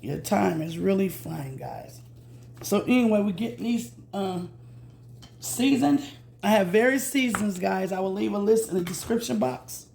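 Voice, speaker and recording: A slightly hoarse woman chats through a home cooking vlog, repeating herself and calling her viewers "guys". Her voice has a gravelly, creaky edge. Faint noise and pan sizzle sit behind her in the kitchen. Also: mid-range voice, a little slow, a little mellow. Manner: chatty, says "guys" constantly, repeats herself. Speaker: cooking channel host. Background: food sizzling in a pan.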